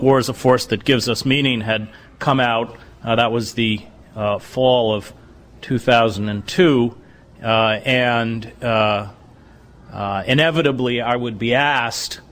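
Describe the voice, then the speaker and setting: A middle-aged man lecturing in a measured, halting way, dotting his sentences with "uh" as he searches for the next phrase. His voice sits at an ordinary mid pitch for a man. His delivery is serious and unhurried. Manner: deliberate, halting with many "uh"s. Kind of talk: bookstore lecture.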